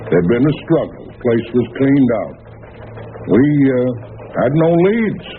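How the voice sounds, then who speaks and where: An actor playing a weary, suspicious police lieutenant in old-time radio drama, halting and matter-of-fact. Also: male voice, mid-range voice, big pitch swings. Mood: official, guarded. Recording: narrow, noisy old radio recording.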